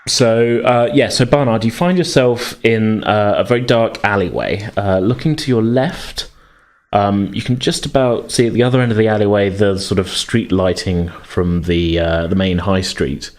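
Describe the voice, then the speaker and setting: A man's voice: lowish voice, moderate pace. A man narrating a tabletop roleplaying game as game master, improvising in hesitant, piecemeal phrases.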